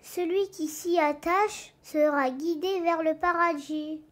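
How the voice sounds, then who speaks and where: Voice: very high voice, fairly even intonation, slow pace, a bit bright, exaggerated voice. A performer voicing an earnest, pious young child who teaches and encourages in an educational cartoon, phrasing things in a didactic way. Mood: earnest, teaching. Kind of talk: scripted educational cartoon dialogue.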